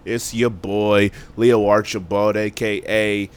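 Chill podcast dude, smooth clear voice, just rambling about movies. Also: male voice, on the low side, level tone, slow pace. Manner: loose, rambling, slangy. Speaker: podcast co-host. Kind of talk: casual movie chat.

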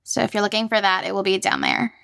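A woman's voice: mid-range voice, flat delivery, fast, creaky, fairly bright tone, no room sound, studio quality. A woman podcast interviewer chatting casually with a guest.

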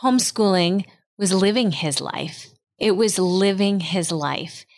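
A woman's voice: mid-range voice, slow. A woman host with a Wisconsin accent, asking questions and making points with enthusiasm and emphasis. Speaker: podcast host. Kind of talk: casual interview chat.

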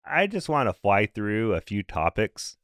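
A man in his thirties or forties hosting a podcast, slightly hoarse and raspy, talking briskly and conversationally with a light dry humor. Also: lowish voice, sing-song, a bit bright. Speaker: podcast host. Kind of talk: scripted podcast explainer.

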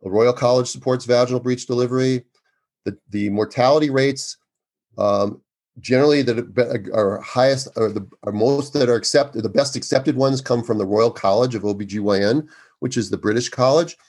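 A man in his sixties, an obstetrician speaking as a guest in an interview, with a loud, emphatic and earnest way of talking. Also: mid-range voice, normal speed. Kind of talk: conversational interview.

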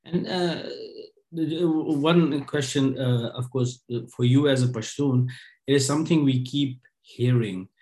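A man asking interview questions in a hesitant, halting way with many fillers. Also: mid-range voice, dark tone.

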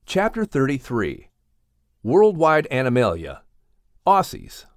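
Male children's audiobook narrator, mid-range, lively intonation, expressive storytelling. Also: mid-range voice, takes it slowly, dry recording, clean studio sound.

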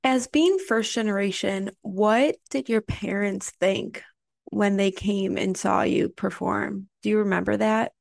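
A woman hosting an interview, asking quick, curious questions. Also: medium pitch, vocal fry, no echo, clean studio sound. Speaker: podcast host.

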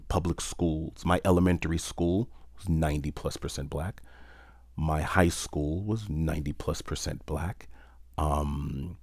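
Deep-voiced man telling a reflective story. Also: no room sound, clean studio sound. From New York City. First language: French. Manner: reflective, measured, conversational with fillers. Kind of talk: personal storytelling talk.

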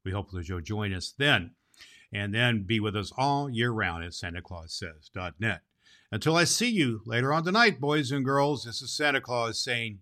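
Guy doing a jolly grandpa santa talking to kids, big emphatic storyteller delivery, medium pitch. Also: pushed and bright. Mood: cheerful, friendly. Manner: theatrical, emphatic, addresses listeners as boys and girls. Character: jolly, grandfatherly old Santa Claus greeting children. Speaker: storyteller and podcast host. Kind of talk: dramatic storybook reading and direct address to children.

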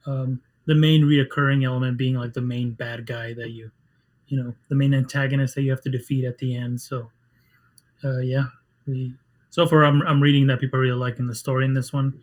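A man whose first language is Spanish, a game developer talking casually in an interview, halting with many restarts and fillers. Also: mid-range voice, flat delivery.

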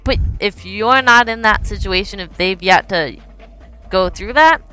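A woman's voice: mid-range voice, a little slow, sharp tone, clear, resonant tone. A woman co-hosting a casual discussion who talks in a thoughtful, plain-spoken way.

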